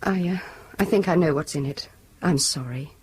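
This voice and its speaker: An actress playing a tender, candid mother in a radio drama, gentle and earnest. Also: sits low, normal speed, slightly rough.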